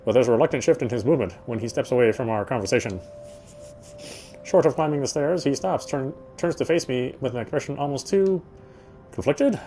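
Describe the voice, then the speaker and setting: Mellow man reading game narration aloud. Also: mid-range voice, fairly quick, soft background music. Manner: stumbles and rereads phrases. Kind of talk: read-aloud narration of a visual novel.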